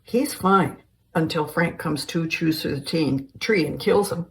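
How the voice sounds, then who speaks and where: A woman's voice: low voice, unhurried, vocal fry, far from the mic, a little hiss or hum. A woman voicing an exhausted, sardonic male camper who talks in a weary, dry way.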